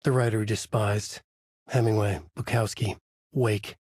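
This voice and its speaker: Husky guy doing moody haunted writer narration for a noir video game, really dry close sound. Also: fairly low pitch, fairly even intonation, a little slow, a little mellow, no echo. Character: brooding, haunted male thriller writer. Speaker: voice actor playing a writer protagonist who narrates.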